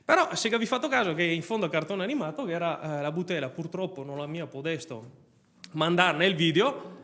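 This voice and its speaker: A man's voice: a little high, lively intonation, brisk, bright, forceful tone. A man with a Venetian accent giving an informal presentation, chatty and given to digressions. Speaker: cartoon creator presenting his project.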